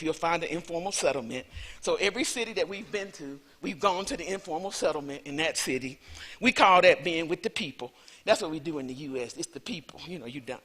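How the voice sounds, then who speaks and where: A woman's voice: fairly low pitch, fairly bright tone. Chatty Southern woman in a hall. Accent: Southern US (Alabama). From Alabama, USA. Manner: chatty, rambling, self-deprecating asides. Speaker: visiting lecturer. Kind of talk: conference talk. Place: large lecture hall. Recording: reverberant hall.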